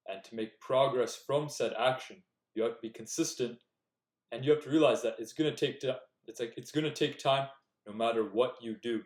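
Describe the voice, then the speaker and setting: A man's voice: medium pitch, fairly quick. A young man giving earnest, direct self-improvement advice in a casual talk to the camera. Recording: echoey room.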